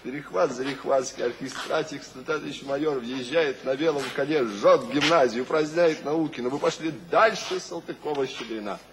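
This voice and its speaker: An actor playing a reflective, literate poet in a radio drama, speaking in a measured way. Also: male voice, mid-range voice, background noise, close to an everyday voice. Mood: admiring. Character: thoughtful, admiring male poet of revolutionary Russia. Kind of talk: radio drama acting with narration.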